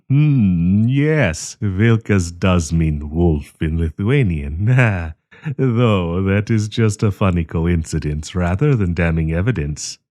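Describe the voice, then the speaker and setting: A man's voice: low voice, expressive, dark tone. A man playing a kindly old professor with a hidden possessive menace, courtly and teasing in an intimate audio roleplay. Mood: sly, menacing. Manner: courtly, teasing, chuckling, deliberate.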